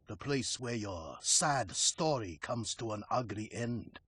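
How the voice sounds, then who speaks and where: An actor playing a gloating, menacing crime villain taunting a captive in a theatrical, deliberate manner for a video game. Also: male voice, mid-range voice, animated, a bit bright, slightly rough, no echo, clean studio sound, full character voice. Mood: gloating menace. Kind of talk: dramatic video game cutscene acting.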